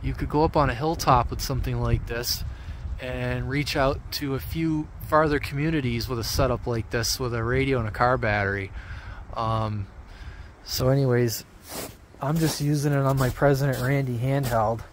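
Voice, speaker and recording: A man giving a casual, off-the-cuff how-to explanation outdoors in an informal, rambling, halting way. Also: medium pitch, steady tone. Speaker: hobby radio vlogger. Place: outdoors among trees. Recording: outdoor handheld video with background noise.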